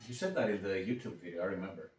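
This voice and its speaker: Greek-accented man, low voice, echoey room. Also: lively intonation, a little mellow, recorded from a distance. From Greece. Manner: halting, many restarts, curses freely. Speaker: podcast host. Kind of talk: casual interview chat.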